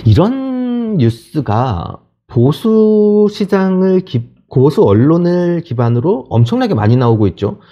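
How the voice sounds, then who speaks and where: A man's voice: high-pitched, sing-song, slow pace, few pauses. A man hosting a channel gives opinionated news commentary in an explanatory, emphatic manner, often posing rhetorical questions, on a slightly muffled recording. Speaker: channel host and solar industry worker.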